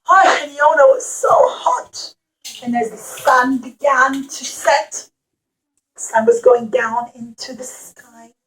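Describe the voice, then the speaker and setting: A woman's voice: high-pitched, a bit bright, rough recording. A woman telling a puppet-show story to young children, explaining simply and repeating phrases with dramatic emphasis.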